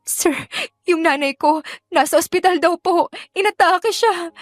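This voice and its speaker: A woman's voice: extremely high pitch, measured pace, dry recording, studio quality, soft background music, voice pushed up. A woman voicing a young laundrywoman in an audiobook drama, polite, deferential and earnest. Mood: shaken, anxious. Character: young laundrywoman, gentle, polite, encouraging, flustered when worried.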